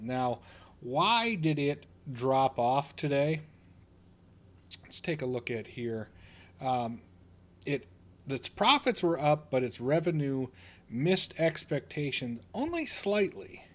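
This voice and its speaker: A man who hosts an investing channel, talking loosely through a stock he owns, with plenty of fillers and restarts. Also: mid-range voice. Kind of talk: casual stock commentary over screen recording.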